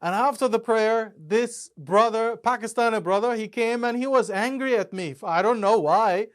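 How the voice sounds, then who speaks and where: A man's voice: high-pitched, moderate pace. Man patiently explaining his dictionary. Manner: patient, step-by-step explaining with examples. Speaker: author and imam presenting his Quran dictionary. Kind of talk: explanatory talk about a book.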